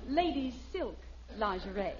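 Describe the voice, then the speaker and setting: A woman's voice: a little high, sing-song, a little slow, over a noisy crowd. A woman playing a polite, composed young American saleswoman in a radio comedy. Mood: composed, businesslike. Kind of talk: 1950s radio sitcom acting. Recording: muffled, very noisy old radio recording.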